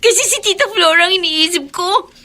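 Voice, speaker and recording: A Filipino actress playing an aggrieved, feisty but respectful teenage daughter in a radio drama, plaintive and indignant. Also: female voice, extremely high pitch, a little fast, bright, forceful tone. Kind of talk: Tagalog radio drama acting.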